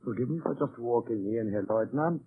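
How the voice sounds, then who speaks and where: An actor playing a suspicious, oily German wartime commandant in an old-time radio drama, falsely courteous and insinuating. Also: male voice, medium pitch, expressive, brisk, a little hiss or hum, natural voice. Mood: smug, probing. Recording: muffled, tinny old radio recording.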